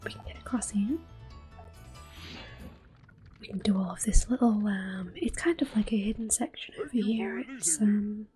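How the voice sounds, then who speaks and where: A woman giving gentle, unhurried ASMR gaming commentary in a soft, breathy, often whispered voice, close to the microphone. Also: mid-range voice, faint music behind. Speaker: gameplay host.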